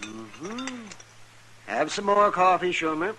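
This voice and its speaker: An actor playing a brisk, dry but kindly frontier doctor in an old-time radio western. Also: male voice, high-pitched, sing-song, exaggerated voice. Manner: dry, bossy, coaxing. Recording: hissy old radio recording.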